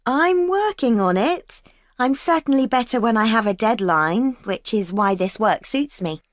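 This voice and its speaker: A woman's voice: on the high side, expressive, measured pace, dry recording. Keen young student girl, agreeable, laughy, dry studio sound. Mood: earnest. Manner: eager, agreeable, laughs often. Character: keen young female events-management student. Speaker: student planning a work placement. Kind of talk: scripted exam dialogue.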